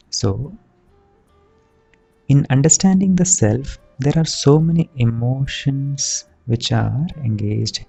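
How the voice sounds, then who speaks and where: A man leads a guided meditation as a teacher, speaking soothingly and deliberately in short phrases with long pauses. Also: medium pitch, vocal fry, dark tone, husky. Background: background music.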